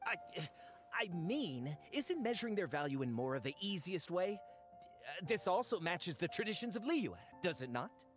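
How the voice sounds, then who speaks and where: An actor playing a greedy, self-important fake archaeologist in an anime game, pompous, hedging and excitable. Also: male voice, sits high, moderate pace, faint music behind. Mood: defensive bluster. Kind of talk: anime video game voice acting.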